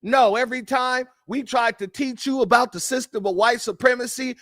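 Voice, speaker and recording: A man's voice: very high voice, moderate pace, fairly bright tone, dry recording, clean studio sound. A man hosting a livestream, delivering fiery, emphatic and profane preaching-style commentary and thanking donors by name. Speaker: livestream host.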